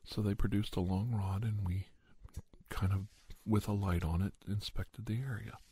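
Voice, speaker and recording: A man telling a story in ASMR style as an earnest monster investigator, soft and breathy, with halting phrases and frequent pauses. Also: fairly low pitch, fairly even intonation, dry recording. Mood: hushed, musing. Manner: hushed, halting, pausing often mid-sentence. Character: Doctor Andrew Michaels, earnest monster investigator recounting a hunt. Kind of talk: ASMR storytelling, told as first-person account.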